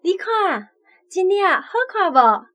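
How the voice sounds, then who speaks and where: A woman's voice: very high voice, very animated, slow, fairly bright tone, soft background music, full character voice. A woman playing a delighted young lady showing off her looks in a radio drama. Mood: delighted, coquettish.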